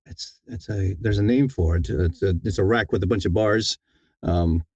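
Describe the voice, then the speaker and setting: A man's voice: deep voice, normal speed, a little mellow, breathy edge, no room sound, lo-fi sound. A man being interviewed as an audiobook narrator, talking casually and thoughtfully, often repeating words as he feels his way along.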